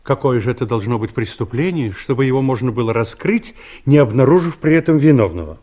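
A male actor plays a mild ageing investigator in a radio play. He is a law-abiding, fussy man with a medium-pitched voice, explaining things earnestly and without hurry. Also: expressive, mellow, natural voice. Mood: earnest, reluctant. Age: older. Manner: earnest, pedantic, unhurried explaining. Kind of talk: audio drama dialogue.

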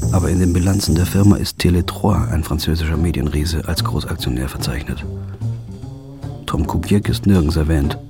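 A man narrating in the first person in a flat, brooding, understated way, as a noir audio drama lead. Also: low-pitched.

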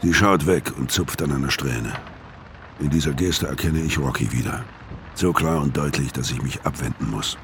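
An actor playing a weary, middle-aged ex-convict who narrates and speaks in a radio crime drama, laconic and guarded, with a gravelly voice. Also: male voice, very deep voice, moderate pace, fairly bright tone, slight background noise. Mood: guarded, subdued. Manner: laconic, understated. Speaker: narrator and lead character. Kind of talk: German radio crime drama, first-person narration and dialogue.

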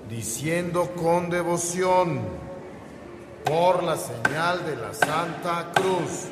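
An actor playing a fervent, patient priest teaching catechism in a radio drama, declaiming in a measured, devout way. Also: male voice, a little high, music in the background. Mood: devout, instructing. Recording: echoey church hall, crowd responding.

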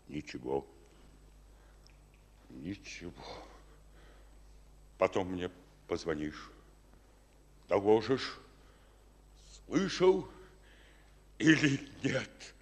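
A gruff Soviet general in a radio war drama. His very deep, husky voice gives orders in short phrases, drawn out very slowly with wide swings of pitch. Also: sing-song, full character voice. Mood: hushed, insistent. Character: General Protsenko, gruff, hushed, commanding Soviet officer. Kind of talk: wartime radio drama acting.

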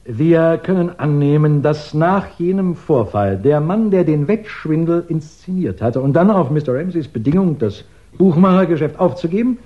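Ein Mann spielt in einem Krimi-Hörspiel der sechziger Jahre einen scharfsinnigen Ermittler, der bedächtig, höflich und förmlich bohrend einen Mordfall darlegt. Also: male voice, a little high. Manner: deliberate, courteous, probing, formal. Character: shrewd male investigator methodically laying out a murder case. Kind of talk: 1960s German radio crime drama acting. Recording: dull 1960s radio recording.

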